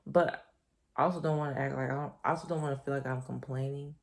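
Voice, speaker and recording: A sleepy woman with a deep voice, chatting to her camera at home in a rambling, reflective way. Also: steady tone, fast talker, creaky. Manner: reflective, rambling, soft-spoken. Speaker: vlogger. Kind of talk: casual chat to camera. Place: top-floor apartment at home. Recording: echoey room.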